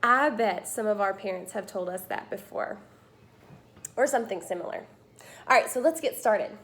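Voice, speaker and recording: A woman teacher reading a picture book aloud to children, with expressive, emphatic storytelling and drawn-out phrases. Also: mid-range voice.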